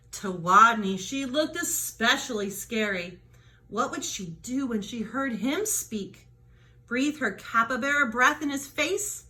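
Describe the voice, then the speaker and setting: High, bright-voiced woman reading a children's story. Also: rough recording. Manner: dramatic emphasis, drawn-out key words. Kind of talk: animated children's storybook read-aloud.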